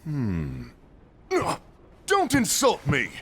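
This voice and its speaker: A man playing a gruff, touchy warrior with a blunt manner in a game fan dub. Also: on the high side, wildly swooping pitch, very slow, fairly bright tone, heavily acted. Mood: blunt, irritated. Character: gruff, touchy bear-masked male warrior.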